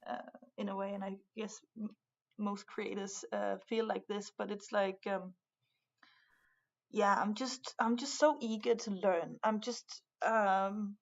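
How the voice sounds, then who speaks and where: A young woman from Denmark with a Danish accent, speaking English haltingly in a casual conversation, full of fillers and restarts. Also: mid-range voice, steady tone, muffled recording. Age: young adult. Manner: hesitant, many fillers and restarts. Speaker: art student, guest.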